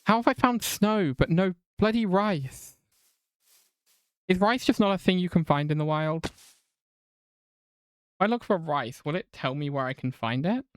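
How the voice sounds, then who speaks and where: A woman's voice: lowish voice, lively intonation, a little slow, long pauses, clean tone, dry recording. Chatty English woman streaming a game. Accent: mixed southern and northern English. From England. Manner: chatty, swears casually, reads chat aloud. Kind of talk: casual live game stream chat.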